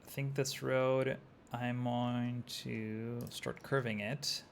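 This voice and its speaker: Young argentinian guy streaming a city builder, gravelly voice, rambly, faint hiss behind. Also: medium pitch, level tone, slow. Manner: rambling, chatty, talks to chat, many "like" fillers. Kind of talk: casual gameplay commentary.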